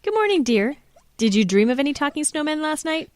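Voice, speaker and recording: Casting: affectionate mother , woman acting, kids' radio play. Fairly high voice, dry sound, homely and chatty. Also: big pitch swings, a little fast, no room sound. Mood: kindly, chatty. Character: kindly, chatty mother of a schoolgirl. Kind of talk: children's radio drama acting.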